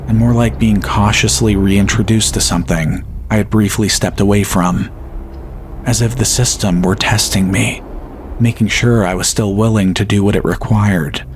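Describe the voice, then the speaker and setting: Male horror narrator, lower register, slow and steady first-person storytelling. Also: background noise.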